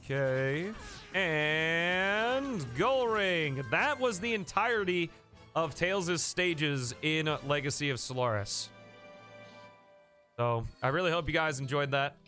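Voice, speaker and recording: A man's voice: a little high, lively intonation, slow, sharp tone, soft background music. Sniffly man casually streaming a game. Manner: casual, chatty, thanks viewers by name. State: sniffly with a coming cold. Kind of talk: live game commentary.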